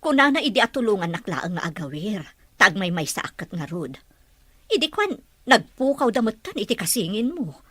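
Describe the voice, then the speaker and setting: A woman's voice: medium pitch, sing-song, normal speed, a bit bright, husky, no echo. A woman playing a mother talking with her grown child in an Ilocano audio drama. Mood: worried.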